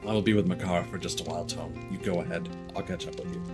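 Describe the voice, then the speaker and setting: A man's voice: low voice, steady tone, fast, over loud music, pitched below the performer's own voice, close to an everyday voice. A man voicing an earnest, humbled desert warrior with solemn determination, reading fantasy game dialogue. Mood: quiet, resolute.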